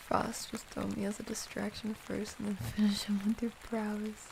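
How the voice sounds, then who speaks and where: Woman, ASMR stream host, soft and playful, unhurried delivery, gravelly vocal fry, faint ambient noise. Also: mid-range voice, rough recording. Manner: soft-spoken, unhurried, drifting into whispers; playful, affectionate with listeners. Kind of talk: ASMR, casual chat with live viewers.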